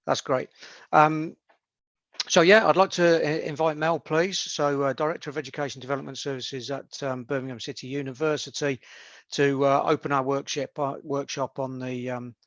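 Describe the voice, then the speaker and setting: A man chairing an online workshop, introducing the speaker in a halting way with many fillers. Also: mid-range voice, fairly even intonation, a bit bright, no echo. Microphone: video call. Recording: muffled dry video call audio.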